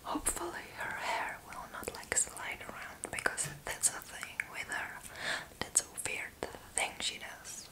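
A young adult woman doing an ASMR roleplay in a breathy, unvoiced whisper, with a sassy, playfully deadpan manner. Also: unhurried, rough recording. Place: small room indoors.

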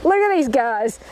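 A woman's voice: extremely high pitch, a little mellow, slight background noise. A woman teaching a group to tie knots outdoors in a park, upbeat, repeating each step and checking in with the group. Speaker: knot-tying instructor. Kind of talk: hands-on outdoor demonstration.

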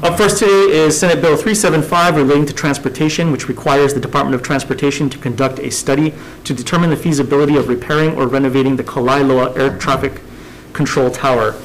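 A man's voice: medium pitch, fairly even intonation, a little hiss or hum, rough recording. A man, chairing a government committee hearing from a desk microphone in a hearing room. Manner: measured, businesslike, reading out agenda items. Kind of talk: formal hearing procedure.

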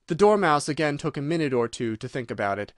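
Male audiobook narrator: scripted storytelling, expressive melody, dry studio-like sound. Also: on the high side, fast, no room sound.

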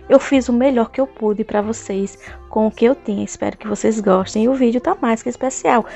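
A woman vlogger, chatting to viewers while touring a park at night, her voice a bit hoarse, recorded on a phone. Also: a little high, a little fast, warm tone, faint music behind. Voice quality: hoarse at times. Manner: chatty, addresses viewers directly. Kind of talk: casual vlog tour narration. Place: outdoor city park at night. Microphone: phone camera at arm's length.

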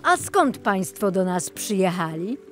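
A woman's voice: mid-range voice, sing-song, unhurried, faint music behind. A woman voicing a friendly, chatty male boatman tour guide in a children's audiobook, in a welcoming storytelling manner.

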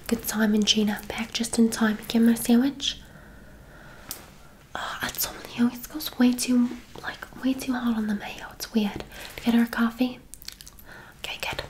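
A woman's voice: fairly high pitch, darkish tone, a little hiss or hum, natural voice. A woman playing a gushing, affectionate, gossipy hairdresser in an ASMR roleplay, chatty and full of endearments. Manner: effusive, chatty, uses endearments.

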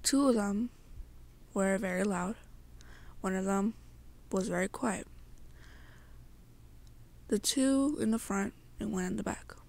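A young woman narrates an ASMR roleplay story to the listener at a very unhurried pace. Her delivery is soft and halting, broken into short phrases, with a noticeable creak in the voice. The pitch sits in an average range for a woman. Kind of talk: slow second-person ASMR storytelling.

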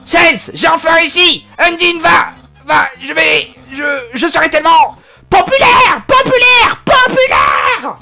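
A man voicing a lanky, boastful male skeleton who shouts and stammers with excitement while reading game dialogue aloud. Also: very high-pitched, unhurried, gravelly, voice pushed up, full character voice. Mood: overexcited.

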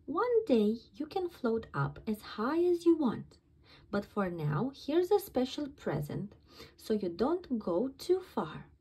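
An eager little cartoon puppy girl played by a woman reader, bossy as she plays mum. The voice is high and lively, made for a children's storybook. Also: takes it slowly, slightly rough, exaggerated voice, low-quality recording. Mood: tender.

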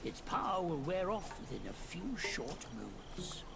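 A man's voice: a little high, big pitch swings, a little slow, creaky, background noise, full character voice. An actor playing an old, bearded male temple keeper spirit in a game cutscene, wry and cryptic, with a theatrical, sing-song delivery. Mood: instructive. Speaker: game character. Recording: game audio with loud music.

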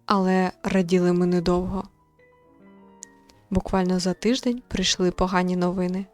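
A woman voicing a grave young male war veteran in a visual novel, speaking in a slow, somber manner. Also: lowish voice, little pitch movement, a little mellow, faint music behind, natural voice.

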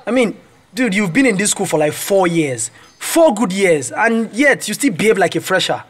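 A man's voice: sits high, lively intonation, moderate pace. A man with a Nigerian accent playing a cocky, taunting young campus bully in a radio drama. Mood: mocking.

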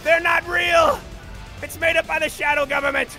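Casting: man playing brash, sarcastic cyborg hero for a comedy game dub, high-pitched with gravelly fry, loud music behind. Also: steady tone, normal speed, full character voice. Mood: manic, defiant. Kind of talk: improvised comedic video game fan dub.

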